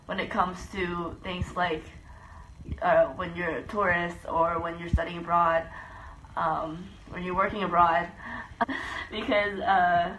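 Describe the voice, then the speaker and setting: Young woman, Philippines-born and US-raised, lowish voice, hesitant and thoughtful, light background hiss. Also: reverberant. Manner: thoughtful, hesitant with many ums. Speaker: student abroad. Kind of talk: interview testimony.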